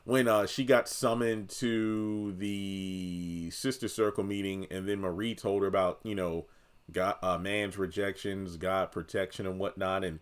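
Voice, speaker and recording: Low-voiced man talking through his opinions. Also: fairly even intonation, measured pace, clean tone. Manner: conversational, thinks aloud, addresses viewers directly. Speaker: video commentator. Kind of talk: casual opinion commentary on a TV drama.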